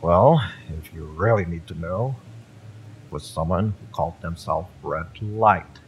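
A man's voice: low-pitched, a little slow, slight background noise, rough recording. A man playing a fiery, bitter young mercenary in an audio roleplay, sardonic and taunting, with sighs and swearing. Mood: taunting, impatient. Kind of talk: romance audio roleplay acting, addressed to the listener.

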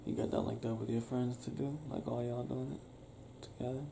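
Young male, mid-pitched with heavy vocal fry, sleepy bedside ASMR partner, soft breathy murmur drifting toward whisper. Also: flat delivery, moderate pace, background noise. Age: young adult. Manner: drowsy, soft, intimate murmur, lying down. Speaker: ASMR roleplay performer. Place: small indoor bedroom, lying on a bed.

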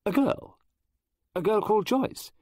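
A man's voice: sits high, unhurried, a little mellow, no echo, clean studio sound, pitched above the performer's own voice. A man reading aloud, voicing a shaken, flustered middle-aged female novelist who talks in a breathless, faltering rush. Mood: distraught.

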